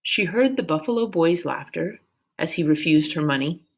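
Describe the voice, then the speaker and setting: A woman reading a children's novel aloud as expressive storybook narration. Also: low-pitched, normal speed.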